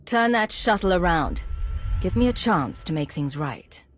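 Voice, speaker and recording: An actress playing an earnest, idealistic commander in a video game, urgent and determined. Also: female voice, fairly low pitch, expressive, unhurried, faint music behind.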